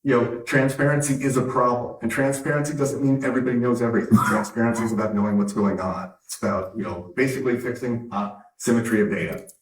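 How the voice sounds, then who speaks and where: A man's voice: mid-range voice, steady tone, fast. A man from Canada with an American accent, giving an emphatic conference keynote speech with wry asides. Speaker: conference speaker, company founder. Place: conference hall. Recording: roomy hall from a distance.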